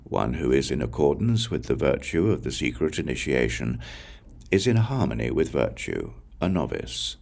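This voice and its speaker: Very deep, gravelly male scripture reader. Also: very animated, moderate pace, a little mellow. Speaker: audiobook reader. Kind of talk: scripted reading of Buddhist scripture.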